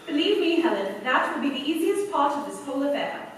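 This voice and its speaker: A woman's voice: sits high, big pitch swings, fairly quick. A woman playing an undercover chief posing as a sweet, folksy young newcomer in a staged radio play. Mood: sweet, confident. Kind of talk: staged 1940s-style radio play acting. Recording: echoey hall from far off, noisy.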